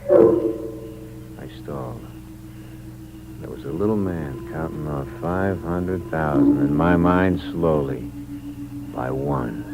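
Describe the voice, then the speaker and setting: A man's voice: very deep voice, slow pace, vocal fry, long pauses, dull audio, full character voice. An actor playing a hard-boiled drifter who tells his own story in terse, wry narration. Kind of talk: 1950s radio drama acting. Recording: noisy old radio recording.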